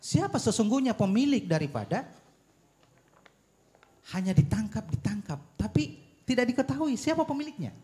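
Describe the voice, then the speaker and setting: A man speaking as a panelist at a public forum, arguing a policy point in deliberate, emphatic phrases with rhetorical questions. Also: extremely high pitch, animated, warm tone. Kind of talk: argumentative forum speech on policy.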